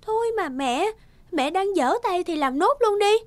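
A woman's voice: very high voice, lively intonation, normal speed, no room sound. A woman playing a vain, spoiled teenage girl in a cartoon fairy tale, whiny and demanding.

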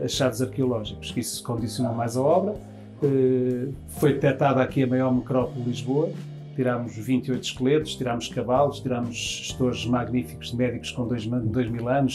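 A man in his fifties with a European Portuguese accent, a restaurant manager answering interview questions at length, restarting phrases as he goes. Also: medium pitch. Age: 50s. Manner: talks with his hands, many restarts. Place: restaurant dining room, indoors.

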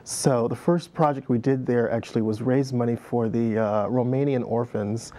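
A man's voice: mid-range voice, steady tone, normal speed, mellow. Middle-aged male guest, reflective storyteller, easygoing, studio lapel sound. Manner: reflective storyteller, many fillers, laughs easily. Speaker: guest, dance director. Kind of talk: conversational interview. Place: TV studio set.

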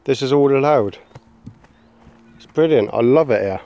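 A man's voice: mid-range voice, moderate pace, lo-fi sound. Chatty English man filming outdoors. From England. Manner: chatty, calls his son mate. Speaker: bushcraft vlogger. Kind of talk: casual outdoor vlog. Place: woodland and grassy campsite outdoors. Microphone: handheld camera.

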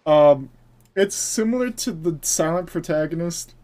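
A man's voice: on the high side, animated, measured pace, darkish tone. A man streaming a video game, chatting casually and sarcastically with his viewers. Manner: sarcastic, chatty, talking to chat. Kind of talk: casual gaming commentary.

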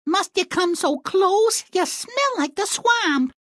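A woman's voice: very high voice, animated, takes it slowly, a bit bright, no room sound, studio quality, exaggerated voice. A woman voicing a spoiled, whiny, rather dim young princess in a fairy-tale video game, petulant and melodramatic. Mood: disgusted.

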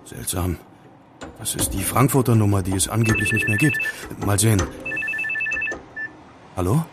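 Man playing a low-voiced, musing young student sleuth. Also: moderate pace, very noisy, lightly acted. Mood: curious, musing. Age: young adult. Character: male student amateur sleuth, curious, wary, brooding. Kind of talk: German audio drama acting.

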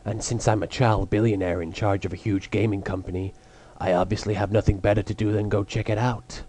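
A man voicing an arrogant, cold teenage billionaire rival in a cartoon parody, with smug, deadpan delivery. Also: lowish voice, a little fast, lightly acted. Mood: smug, menacing. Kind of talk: anime parody dub.

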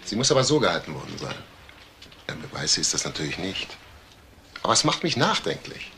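An actor playing a thoughtful, meticulous forensic investigator in a crime radio drama. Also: male voice, deep voice, big pitch swings, a little fast, pushed and bright, exaggerated voice. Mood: pensive. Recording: clear background noise.

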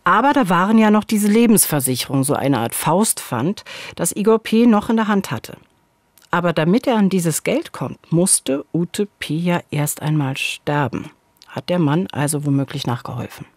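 A woman in her forties co-hosting true crime, telling it conversationally but with suspense and emphatic pauses, on a studio microphone. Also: fairly low pitch, expressive, no echo. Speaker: true-crime podcast co-host. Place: dark radio studio. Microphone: studio microphone on boom arm, headset monitoring.

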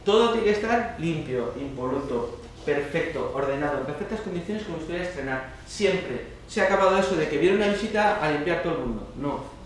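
A man's voice: fairly high pitch, animated, brisk, few pauses. A man with an accent from Spain giving an informal conference presentation, colloquial and emphatic, often checking in with short tag questions. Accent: Spanish (Spain). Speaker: speaker at a student congress. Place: indoor lecture hall, speaker standing on stage. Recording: roomy, distant mic with faint noise.

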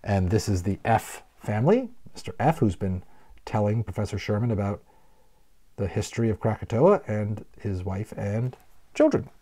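A man's voice: on the low side, animated, normal speed, mellow. A man reading a children's book aloud as a storyteller, expressively, with casual asides.

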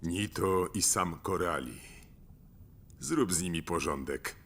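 Arrogant, sharp-voiced tycoon villain. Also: male voice, low-pitched, slow, full character voice. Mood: coldly scheming. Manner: smooth, pompous, commanding. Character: arrogant, calculating wealthy tycoon villain man. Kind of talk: dubbed cartoon acting.

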